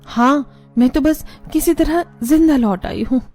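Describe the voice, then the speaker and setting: A woman with a high, mellow voice plays a fed-up young bride in a cartoon story. She scolds and complains in an exasperated way. Soft music plays faintly underneath. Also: unhurried. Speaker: voice actor voicing several women. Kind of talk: cartoon dialogue.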